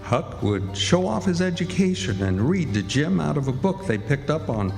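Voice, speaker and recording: A man's voice: on the high side, sing-song, moderate pace, creaky, a little mellow. A man playing the storytelling narrator of a river adventure, in scripted radio drama narration. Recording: echoey hall, music behind.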